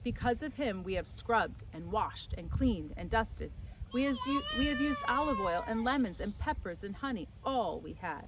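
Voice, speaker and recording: A woman voicing an excitable, scolding innkeeper's wife in a storybook, complaining dramatically and repeating herself. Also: medium pitch, normal speed, noisy background, close to an everyday voice. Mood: exasperated. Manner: exclamatory, repetitive, dramatic complaining. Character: excitable, scolding, house-proud Italian innkeeper's wife and mother.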